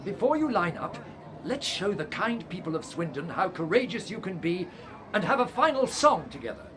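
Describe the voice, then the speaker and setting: A man's voice: sits high, sing-song, moderate pace. An actor playing a kindly, slightly hesitant male schoolteacher looking after evacuee children in a radio play. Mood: encouraging. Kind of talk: radio drama acting. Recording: noisy crowded ambience behind voice.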